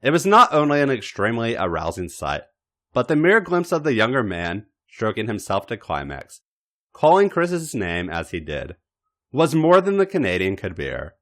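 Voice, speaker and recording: A man reading a story aloud in a dramatic, expressive narrating style, with theatrical emphasis and deliberate pauses. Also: medium pitch. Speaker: podcast host reading fan fiction.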